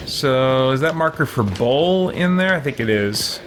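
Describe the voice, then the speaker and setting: A male gaming streamer gives casual play commentary. He talks slowly in a mid-range voice, thinking aloud as he goes, with faint noise and keyboard clicks behind him. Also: mid-range voice, lively intonation.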